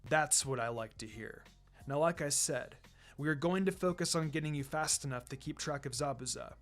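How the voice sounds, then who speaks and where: A man voicing a calm, firm, encouraging adult ninja teacher with a measured, instructive delivery in a story reading. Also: a little high, close to an everyday voice. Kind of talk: fan fiction reading with character voices. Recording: music behind the voice.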